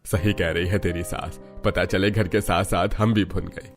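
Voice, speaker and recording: Guy doing a tired old sasur in a cartoon, deep voice, sighs a lot, kindly, music behind. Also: steady tone, moderate pace. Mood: wry, warm. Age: older. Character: weary, kindly old father-in-law. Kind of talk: Hindi cartoon story dialogue.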